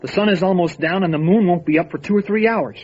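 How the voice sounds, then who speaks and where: An actor playing an anxious, feisty, loyal deputy in an old time radio drama, urgent and protesting. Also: male voice, high voice, fast talker, a little mellow, slightly rough. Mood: worried protest. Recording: dull lo-fi audio with light noise.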